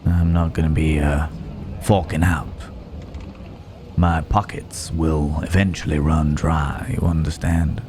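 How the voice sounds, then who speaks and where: A man playing a gallant yet menacing Wild West cowboy in an intimate ASMR roleplay, unhurried, with pauses and folksy old-fashioned phrasing. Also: a bass voice, flat delivery, noisy background. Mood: teasing, caring. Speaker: voice actor in an audio roleplay.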